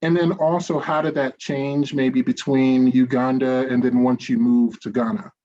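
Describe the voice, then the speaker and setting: An American man hosts a casual interview, feeling his way through earnest, rambling questions. His voice is mid-pitched and comes through slightly dull and lo-fi. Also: level tone, normal speed, warm tone. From United States. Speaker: podcast host.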